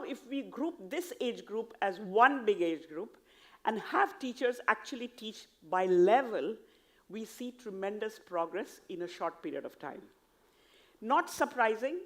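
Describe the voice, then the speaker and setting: A woman from India with an Indian English accent, giving an earnest, persuasive talk at a conference, explaining her points step by step. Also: fairly high pitch. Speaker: conference speaker. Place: conference hall.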